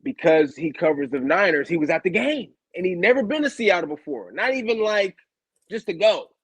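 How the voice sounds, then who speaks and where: A man co-hosting a casual podcast as a former player, telling stories in an animated, slangy way and often repeating himself. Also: high voice, normal speed, slightly rough, no room sound. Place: home studio, video call. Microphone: video call setup.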